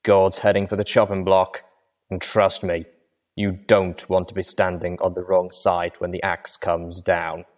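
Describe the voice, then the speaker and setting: A man's voice: low-pitched, flat delivery, unhurried. A man voicing a menacing male pony guard, a former merchant, who growls his warnings. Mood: threatening.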